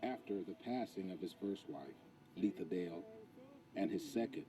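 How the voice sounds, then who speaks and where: A man's voice: sits low, normal speed, music in the background, rough recording. An American man narrating a scripted television news feature as a reporter.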